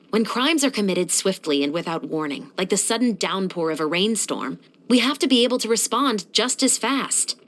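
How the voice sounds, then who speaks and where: An actress performs a young female captain of a law enforcement patrol in a video game. Her voice sits a little low for a woman. She is stern and principled, delivering scripted lines with crisp, composed authority and earnest conviction. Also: close to an everyday voice. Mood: composed, firm. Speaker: video game character voice actor.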